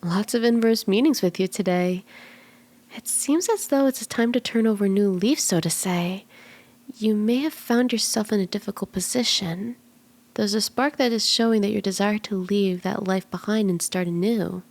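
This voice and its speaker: A woman voicing a flirty, soothing kitsune fortune teller in an ASMR roleplay, speaking gently and coaxingly, at times in breathy whispers. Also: medium pitch, moderate pace, natural voice. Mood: soothing, mystical. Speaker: tarot reader.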